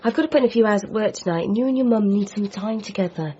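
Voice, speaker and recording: A woman actress of medium pitch plays a caring, practical partner. She is gentle and reassuring, in naturalistic radio drama dialogue with slightly muffled sound and faint ambience. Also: very fast, a little hiss or hum, natural voice. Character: caring, practical girlfriend, a doctor. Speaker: supporting character.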